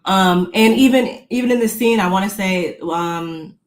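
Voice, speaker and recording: A woman's voice: mid-range voice, unhurried. A woman co-hosting a podcast, chatting casually and rambling with lots of fillers. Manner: rambling, many fillers and restarts. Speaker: podcast co-host recapping reality TV. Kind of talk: casual chat. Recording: roomy echo.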